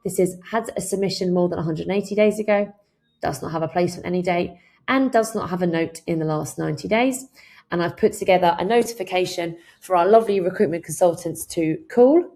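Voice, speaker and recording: A woman with a fairly low voice and a noticeably creaky, fried edge works as a software trainer. She narrates a tutorial in an instructional manner, explaining one step at a time and dropping in informal asides. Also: brisk.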